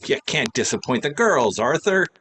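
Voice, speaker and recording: A man's voice: medium pitch, sing-song, normal speed, a bit bright, slight background noise. A man playing a cheerful, wisecracking former private detective in a radio play, dry and flippant. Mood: wry, flippant.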